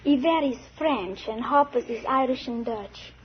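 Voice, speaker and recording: A woman from Egypt, a quiz show contestant, telling a story in a giggly, unhurried way. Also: a little high. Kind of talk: casual storytelling to a host. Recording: muffled 1950s broadcast with hiss.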